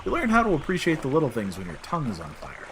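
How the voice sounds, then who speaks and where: A man playing an excitable, bantering time-traveling alien in a comic audio drama, theatrical and mock-pompous. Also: fairly high pitch, quick pace, slight background noise, rough recording. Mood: breezy.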